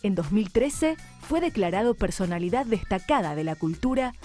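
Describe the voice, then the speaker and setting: A woman announcer reading a formal scripted introduction in a measured way. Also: medium pitch, lively intonation, darkish tone, faint music behind.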